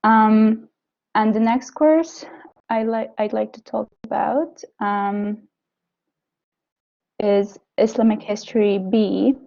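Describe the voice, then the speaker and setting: A woman's voice: fairly high pitch, fairly even intonation, slow, creaky, long pauses, warm tone, highs cut off. A young woman university student, not a native English speaker, talks informally about her courses, hesitating often with ums and restarts. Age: young adult. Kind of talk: informal talk on course choices.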